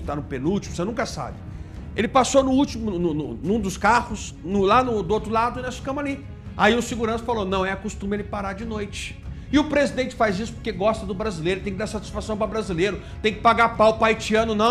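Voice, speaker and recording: A man with a Brazilian accent giving informal political commentary in an indignant, emphatic, mocking way. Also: sits high. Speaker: video commentator.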